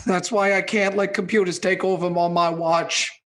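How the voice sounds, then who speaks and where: An actor plays a stubborn older boomer on a comedy radio show. The voice grumbles and complains with indignation, set against anything modern, at a middling pitch. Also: level tone, measured pace, a bit bright, close to an everyday voice. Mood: aggrieved. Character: grumpy, stubborn, technophobic older movie reviewer, a boomer. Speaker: movie recap co-host. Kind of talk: scripted comedy radio review sketch.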